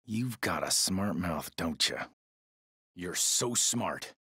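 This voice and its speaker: A middle-aged American military stepfather in a game. He lectures sternly in a husky, gruff voice that swings widely in pitch. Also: expressive, takes it slowly, pushed and bright, no room sound, studio quality, heavily acted. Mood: stern, menacing. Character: stern, gruff ex-army stepfather with wounded authority. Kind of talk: video game voice acting.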